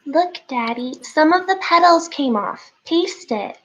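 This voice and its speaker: A woman's voice: high voice, lively intonation, a little slow. A woman playing a sweet, stubborn little girl in a radio play reading, childlike and insistent. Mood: innocent, insistent. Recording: dull lo-fi call audio.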